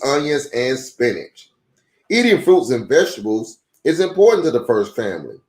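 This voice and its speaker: An echoey, roomy recording carries a man's voice as he reads a children's book aloud. His pitch moves in a lively way, and his phrasing is deliberate and a little halting, in an informal storytime style. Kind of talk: read-aloud storytime with casual asides.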